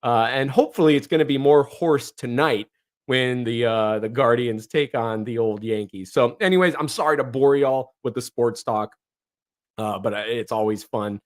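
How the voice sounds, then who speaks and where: A hoarse man from northeastern Ohio hosting a casual livestream, reading viewer comments in a rambling way with many fillers and restarts. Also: mid-range voice, normal speed. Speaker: livestream host.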